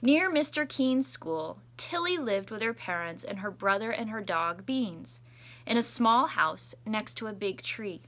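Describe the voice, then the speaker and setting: A woman teacher reading a story aloud to children with expressive, deliberate phrasing. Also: mid-range voice, slight background noise.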